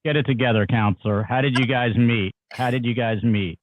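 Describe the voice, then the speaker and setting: A man's voice: medium pitch, fairly even intonation, brisk, close to an everyday voice, poor audio. A man playing a terse lawyer guarding a witness, with firm, formulaic interjections in a read-aloud deposition, heard over a thin line. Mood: firm, procedural. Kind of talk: reading a deposition transcript aloud.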